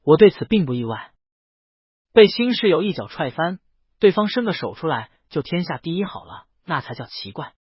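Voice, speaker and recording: A man narrating a first-person story in a scripted audiobook reading, with a lively and expressive delivery. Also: a little high, moderate pace, breathy edge, dry recording. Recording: dry, dull lo-fi recording.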